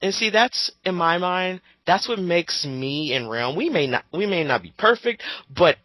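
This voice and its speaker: Animated, abrasive young man ranting. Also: high-pitched, unhurried, dry recording. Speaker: commentator. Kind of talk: casual sweary rant.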